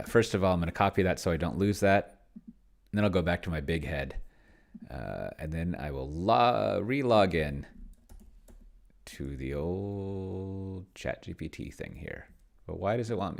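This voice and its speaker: Male gaming host, very low register with heavy fry; casual, musing tutorial commentary, halting delivery. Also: animated. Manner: halting and musing, thinks aloud while typing. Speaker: host playing a tabletop roleplaying game with an AI game master.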